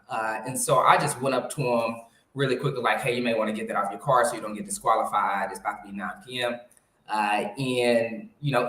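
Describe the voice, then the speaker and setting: A man in his thirties, a guest telling a story in a relaxed, chatty way. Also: medium pitch. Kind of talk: casual interview storytelling. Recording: echoey room.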